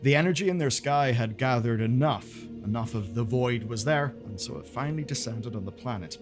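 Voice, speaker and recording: A man presenting game lore as dramatic scripted narration, with pointed pauses and colloquial asides. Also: medium pitch, animated, soft background music.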